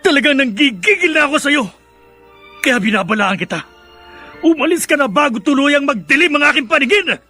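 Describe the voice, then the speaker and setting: Shrill, furious woman at breaking point. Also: animated, brisk, pushed and bright, soft background music. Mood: furious, threatening. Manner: vehement, menacing. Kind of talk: melodramatic radio drama acting.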